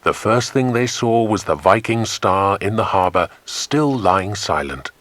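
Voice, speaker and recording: A man's voice: fairly low pitch, lively intonation, unhurried, fairly bright tone, no room sound. A British man narrating a dramatised children's adventure audiobook.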